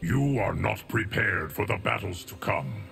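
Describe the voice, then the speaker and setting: An actor playing an ancient, stoic immortal guardian of time in a fighting game, speaking in formal, measured, portentous lines. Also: male voice, lowish voice, expressive, gravelly, a bit bright, exaggerated voice. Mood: grave. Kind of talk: fighting game character dialogue. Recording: long reverb, music behind.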